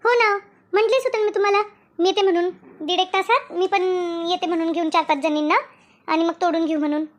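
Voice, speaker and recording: Very high-pitched, chatty Maharashtrian village woman acted by a woman. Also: steady tone, fast talker. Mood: matter-of-fact. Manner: chatty, repetitive, colloquial. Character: Shantabai, brisk, bossy, friendly village woman. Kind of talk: Marathi comedy cartoon dialogue.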